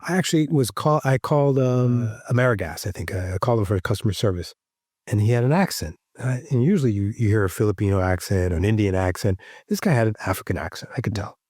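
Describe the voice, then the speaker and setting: A man's voice: lowish voice, fast talker, a little mellow, dry recording, clean studio sound. A man from Haiti on a roundtable panel, making an earnest case with rhetorical questions. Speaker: physician panelist. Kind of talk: roundtable discussion.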